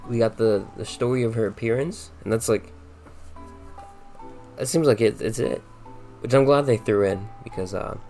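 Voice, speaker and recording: A man's voice: lowish voice, creaky, darkish tone. A man giving casual, rambling reaction commentary while he plays a video game. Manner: rambling, musing. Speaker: game streamer.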